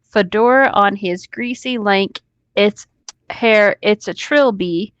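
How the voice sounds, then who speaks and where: A woman's voice: medium pitch, takes it slowly. Female co-host for casual read-aloud storytelling; leisurely, informal, cheeky, a little muffled with little echo. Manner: informal, joking, swears freely. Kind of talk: casual online story reading with banter.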